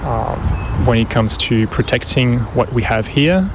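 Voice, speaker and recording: A man's voice: medium pitch, a little slow. A man from France, interviewed outside in a city park, talking casually with many fillers and restarts. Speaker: interviewee, event organiser. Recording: noisy outdoor field recording. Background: traffic and city ambience.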